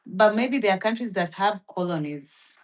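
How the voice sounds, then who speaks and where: A woman's voice: mid-range voice, animated, normal speed. A woman chatting casually on a live stream, rambling with lots of fillers as she thinks aloud and reads from a search. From Philippines. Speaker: livestream host.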